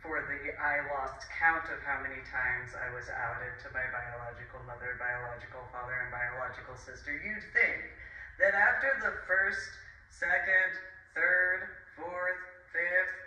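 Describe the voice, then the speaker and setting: A woman's voice: a bass voice, steady tone, unhurried, bright, forceful tone. A woman in her forties rambling through a casual monologue, full of fillers and dismissive asides, filmed standing in a small room. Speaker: vlogger. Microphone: camera at a distance. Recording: echoey room, far from the mic.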